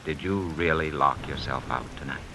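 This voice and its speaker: A man's voice: a bass voice, moderate pace, vocal fry. An actor playing a mild, evasive married professor in a 1950s radio drama, polite and hesitant in his replies. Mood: polite, uneasy. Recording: noisy vintage radio recording.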